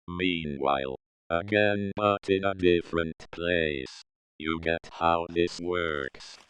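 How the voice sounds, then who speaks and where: A man reading scripted scene-change narration in a deadpan manner. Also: low-pitched, takes it slowly, a little hiss or hum.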